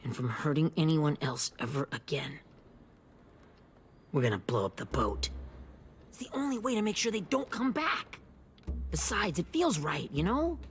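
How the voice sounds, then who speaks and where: A performer playing an excitable, reckless young teenage boy in a video game, with an eager, impulsive manner. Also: medium pitch, normal speed, long pauses, a little hiss or hum, close to an everyday voice. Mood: excited, defiant.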